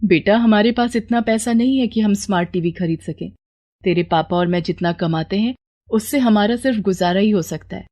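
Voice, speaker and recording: A woman's voice: medium pitch, moderate pace, darkish tone, lightly acted. A woman voicing a poor, worried, caring mother in a moral story, earnest and homely as she pleads and scolds. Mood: gently firm.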